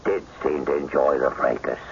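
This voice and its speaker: Very deep, creaky, gossipy pub landlord. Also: male voice, fairly even intonation, normal speed. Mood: gossipy, sly. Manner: folksy, rambling, chuckling gossip. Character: gossipy, jovial male village pub landlord. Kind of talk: radio mystery drama acting. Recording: muffled, noisy old radio drama broadcast.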